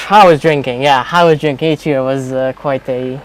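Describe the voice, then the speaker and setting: A young man hosting a podcast, asking questions in a relaxed, hesitant way with many fillers. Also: medium pitch, normal speed, rough recording. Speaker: podcast host. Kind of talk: casual interview chat.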